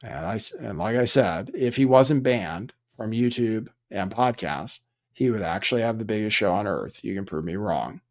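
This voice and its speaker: A man's voice: fairly low pitch, expressive, moderate pace, vocal fry. A man hosting a livestream, commenting casually in an offhand, dry way with rambling asides. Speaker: livestream host.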